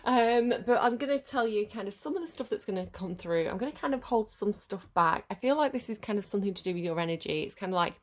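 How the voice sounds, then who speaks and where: A woman's voice: medium pitch, expressive, quick pace, few pauses. A woman with a head cold, giving a rambling, hesitant tarot reading. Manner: rambling and hesitant, many ums and restarts. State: congested with a cold, sniffly. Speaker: tarot reader. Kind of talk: casual collective tarot reading to camera.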